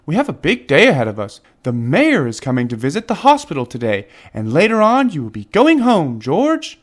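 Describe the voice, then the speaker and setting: A man voicing a friendly but firm playroom lady in a children's storybook, chirpy and gently bossy. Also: a little high, huge pitch swings, a little fast. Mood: firm, cheerful. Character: friendly, firm woman minding hospital playroom.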